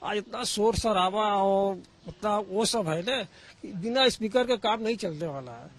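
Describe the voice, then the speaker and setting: A local man with a markedly high-pitched voice. His accent is from eastern Uttar Pradesh, and he answers informally in plain words, repeating phrases as he makes his point. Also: unhurried. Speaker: local resident interviewee. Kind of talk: informal street interview answers. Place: on location in a local neighbourhood.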